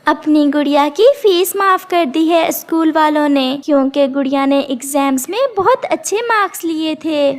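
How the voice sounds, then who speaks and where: A woman voicing a poor, gentle, grateful widowed mother bird, speaking politely and earnestly in a cartoon. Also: high voice, normal speed, hardly any pauses, close to an everyday voice. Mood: happy.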